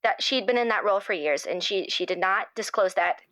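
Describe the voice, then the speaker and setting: A woman playing an earnest, gushing playwright in an improvised audio drama, speaking in a halting, effusive way as if anxious to please. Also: medium pitch, pushed and bright, no room sound, natural voice. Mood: nervous, hesitant. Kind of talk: improvised actual-play interview acting.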